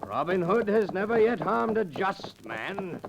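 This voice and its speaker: An actor playing a bold, sly outlaw hero disguised as a butcher in a 1940s radio drama, with flowery, theatrical speech. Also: male voice, high-pitched, very animated, measured pace, creaky, lo-fi sound, crowd noise, full character voice. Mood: teasing. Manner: theatrical, mock-humble, flowery archaic speech. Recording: noisy vintage 1940s radio recording.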